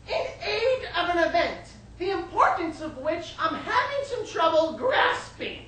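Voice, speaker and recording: A woman's voice: extremely high pitch, big pitch swings, a little slow, fairly bright tone, pitched above the performer's own voice, exaggerated voice. A woman playing an aggrieved, self-pitying older mother in a stage monologue, melodramatic and wounded, guilt-tripping shrilly. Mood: shrill, aggrieved. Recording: echoey stage recording, distant mic, noisy.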